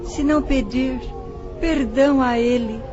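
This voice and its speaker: A woman's voice: sits high, a little slow, background noise. An actress playing the humble, sorrowful spirit of a dead mother, making a solemn, tearfully moved confession in an audio drama. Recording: dull lo-fi drama track with music.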